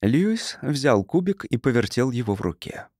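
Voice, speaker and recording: Мужчина-чтец с живой интонацией. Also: male voice, mid-range voice, big pitch swings, normal speed, a little mellow, no echo, clean studio sound. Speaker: audiobook narrator. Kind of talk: expressive scripted first-person story narration.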